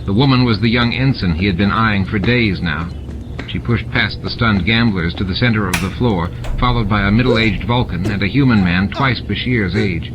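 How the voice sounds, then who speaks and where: A man's voice: on the low side, moderate pace, dull audio, background noise. A man reading a novel aloud as scripted, dramatic audiobook narration. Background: music and sound effects added to the reading.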